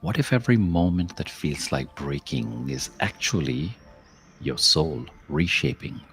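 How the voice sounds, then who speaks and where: A man leading a guided meditation as host, speaking in a measured, contemplative way with long pauses and softly trailing phrases. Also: rumbling low, expressive, slight background noise. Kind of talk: slow guided meditation, spoken-word reflection with verse.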